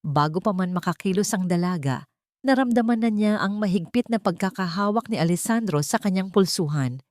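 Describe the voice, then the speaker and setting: A woman's voice: mid-range voice, animated, a little fast, a little mellow, no echo, studio quality. A woman narrating a dramatic scripted love story as an audiobook.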